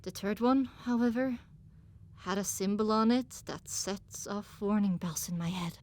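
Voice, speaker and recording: A woman narrating a scripted first-person story as an audiobook, with an expressive, dramatic delivery. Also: mid-range voice, unhurried.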